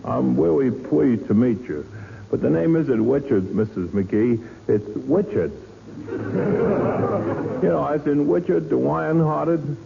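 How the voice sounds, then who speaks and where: Fussy, creaky-voiced little neighbor. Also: male voice, on the high side, lively intonation, takes it slowly, narrow, tinny audio, heavily acted. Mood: prissy, correcting. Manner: prissy, repeats himself, corrects people. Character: fussy, timid, polite male neighbor, giggly draftsman. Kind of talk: 1940s radio comedy acting. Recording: noisy, thin 1940s radio broadcast.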